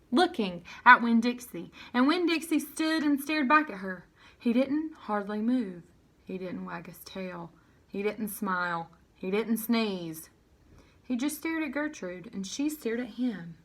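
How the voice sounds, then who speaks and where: A woman reading a children's novel aloud as narrator, in an expressive storytelling style. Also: a little high.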